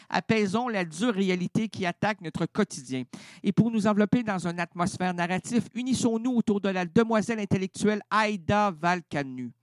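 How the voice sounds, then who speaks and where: A middle-aged man with a Québécois French accent hosting a radio show, in theatrical and playful improvised banter that jumps between registers. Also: high voice. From Quebec.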